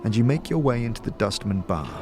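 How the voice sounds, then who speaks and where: A man narrates a fantasy tale like a game master guiding a player. His voice sits a little low and moves with animated, expressive pitch. Soft music plays underneath. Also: fast talker. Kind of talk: scripted second-person fantasy audio drama narration.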